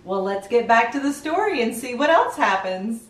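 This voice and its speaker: A middle-aged woman hosting children's storytime, animated and sing-song, speaking directly to young listeners. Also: a little high, moderate pace, roomy sound. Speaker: children's read-aloud show host. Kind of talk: children's storytime presenting and picture-book reading.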